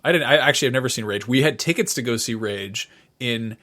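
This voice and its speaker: A man co-hosting a podcast in casual chat, telling stories in an animated, wry, sweary way. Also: medium pitch, fast talker. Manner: animated, profane, wry storytelling. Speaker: podcast co-host.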